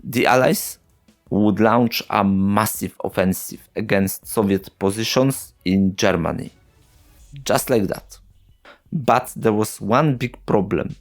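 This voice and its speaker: A man's voice: on the low side, soft background music. A man hosting a history video, English not his first language, narrating with wry, sarcastic asides. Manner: slow, wry, sarcastic, with short chuckles. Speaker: history channel host.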